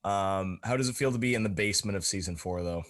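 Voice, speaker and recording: A man's voice: low voice, fast talker, a bit bright. A man co-hosting a podcast, talking in a casual, rambling, informal way. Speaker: podcast co-host.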